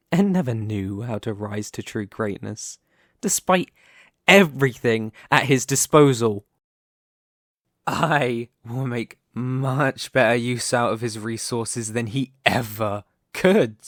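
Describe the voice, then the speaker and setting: A man playing a possessive, scheming young mafia boss in an ASMR roleplay, speaking in a deliberate, condescending, theatrical way. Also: medium pitch, fairly bright tone, no room sound, studio quality. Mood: cold, ambitious.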